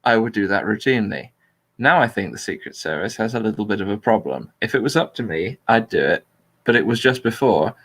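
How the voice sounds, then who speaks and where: Low voiced guy chatting casually and reading stuff out, kinda dry. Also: expressive, brisk, a bit bright. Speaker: talk show host reading out a transcript. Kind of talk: casual chat and deliberately flat reading aloud.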